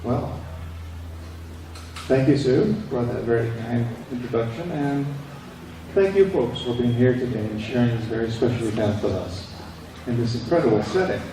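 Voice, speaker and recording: A man's voice: mid-range voice, moderate pace, long pauses. An older man from Indonesia giving a prepared speech to an audience at a veterans event, with dry, plain-spoken humour. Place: large echoey hall, recorded from far off. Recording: echoey hall, distant camera, background noise.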